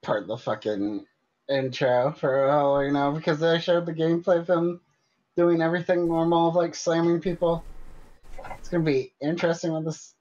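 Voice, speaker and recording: A man's voice: a little high, little pitch movement, moderate pace, darkish tone. A man co-hosting a gaming podcast in casual chat, blunt and skeptical, on muffled audio. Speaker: video game podcast co-host.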